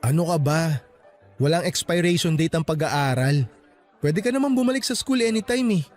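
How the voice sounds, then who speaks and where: A man's voice: fairly high pitch, lively intonation, normal speed, faint music behind, lightly acted. A man playing a young fresh graduate, a supportive and teasing best friend, in an acted radio love story. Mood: encouraging.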